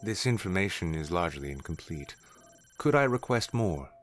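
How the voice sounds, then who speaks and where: A man's voice: deep voice, animated, moderate pace, slight background noise. A man voicing a curious, polite artificial intelligence in a formal, precise manner for an audio story. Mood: eager, measured. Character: newborn male AI computer, formal, curious, polite. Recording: music under the voice.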